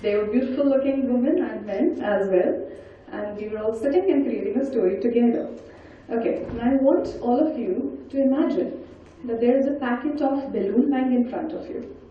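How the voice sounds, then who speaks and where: A woman giving an interactive storytelling talk, animated and coaxing as she puts questions and instructions to her listeners. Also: on the high side, normal speed. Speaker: storyteller and speaker leading an audience activity. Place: large indoor event hall. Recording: very echoey hall, distant mic, dull sound.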